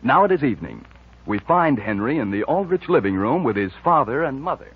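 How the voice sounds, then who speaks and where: A man's voice: mid-range voice, very animated, brisk, slightly rough. A man working as a radio announcer, reading introductions and sponsor copy in 1940s style. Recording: dull, hazy 1940s radio broadcast.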